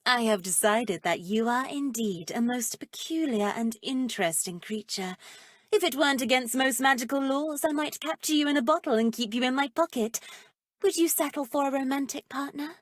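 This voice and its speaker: A haughty witch who teaches the dark arts, acted by a woman for a video game. Her voice sits fairly high and is recorded close and dry. She draws out her words with arch, theatrical menace and a flirtatious edge. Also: moderate pace, no echo, lightly acted. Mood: playful, flirtatious. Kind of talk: video game character voice acting.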